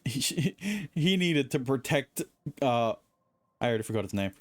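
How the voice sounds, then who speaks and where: A streamer giving casual, wry, halting live commentary over a video game. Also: medium pitch, sing-song, dry recording.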